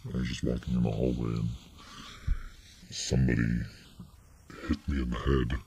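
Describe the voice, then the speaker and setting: A man in his twenties rambling casually through a vlog update, halting and often trailing off, recorded on a webcam at a desk. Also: rumbling low, flat delivery, gravelly, mellow, breathy edge. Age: 20s. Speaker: vlogger. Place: small bedroom indoors. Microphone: webcam at desk distance. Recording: noisy webcam audio.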